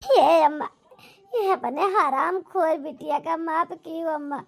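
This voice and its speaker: Lady putting on a crying village daughter, cartoon melodrama, awadhi accent, high creaky voice, dragging out the guilty wails. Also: full character voice. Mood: tearful remorse. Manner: wailing, drawn-out lament. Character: guilt-stricken grieving daughter, remorseful and pleading.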